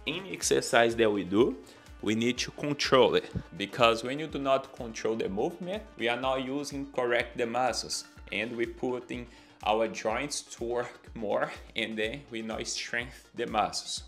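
A man's voice: medium pitch, gravelly, a bit bright. A man in his thirties from Brazil with a Brazilian Portuguese accent, a fitness trainer giving exercise instructions to camera and counting reps aloud. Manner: coaching, counts reps aloud, non-native grammar. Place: bright indoor room with anatomy posters. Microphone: clip-on lapel mic.

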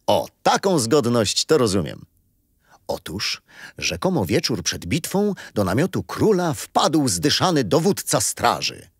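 A man's voice: medium pitch, wildly swooping pitch, measured pace, slightly rough, no echo, clean studio sound, full character voice. An actor playing a kindly, patient old grandfather who tells history to his grandchildren in a children's radio play. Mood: storytelling, amused. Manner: gentle storyteller, explaining patiently.

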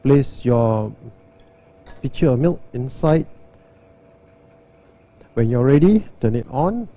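A man, giving a steady, step-by-step walkthrough and demo of an espresso machine. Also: medium pitch, slow, slight background noise. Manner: steady, step-by-step instructional delivery. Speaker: barista demonstrating an espresso machine.

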